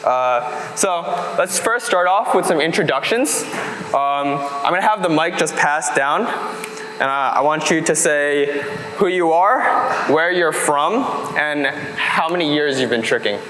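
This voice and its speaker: A man moderating a panel discussion at an event, relaxed, with frequent fillers. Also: fairly high pitch, unhurried. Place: large hall at a sports event. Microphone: handheld mic. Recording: echoey hall, live audience noise.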